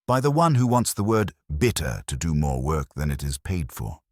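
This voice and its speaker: A man's voice: a bass voice, big pitch swings, moderate pace, a little mellow, no echo, studio quality, exaggerated voice. A man voicing an imperious older genius detective with a pompous, terse, oracular manner in an audiobook mystery. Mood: commanding.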